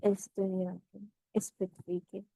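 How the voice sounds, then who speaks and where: A woman leading a guided meditation as instructor, speaking in a measured, gently instructive way with pauses between phrases. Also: mid-range voice, level tone, gravelly, dry recording, rough recording.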